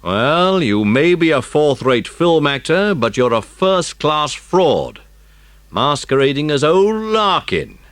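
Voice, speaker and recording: A man playing an authoritative police chief, measured and emphatic, in a dramatised children's audiobook. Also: fairly high pitch, big pitch swings, a bit bright, no echo, voice pushed up, full character voice. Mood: accusing, firm.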